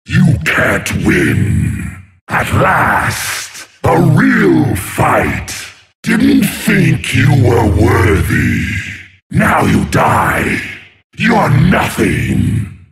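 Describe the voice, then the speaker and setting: A gravelly sea monster tyrant from a video game, imperious and gloating. He draws his threats out very slowly and theatrically, with huge swings in pitch. There is some noise in the recording. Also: huge pitch swings, a bit bright, raised voice, soft background music, heavily acted. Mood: wrathful, threatening. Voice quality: gravelly, growling. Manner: slow, theatrical, menacing, gloating. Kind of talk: video game character voice lines.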